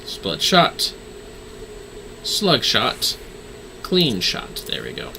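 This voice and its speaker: A man's voice: medium pitch, wildly swooping pitch, extremely slow, creaky, sharp tone, a little hiss or hum. A man livestreaming a video game with casual, offhand commentary and sweary joking asides.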